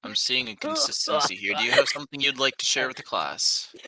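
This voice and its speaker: A man's voice: medium pitch, huge pitch swings, fairly quick, creaky, a crowd in the background. A man co-hosting a podcast, sarcastic and teasing in casual chat. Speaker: podcast co-host.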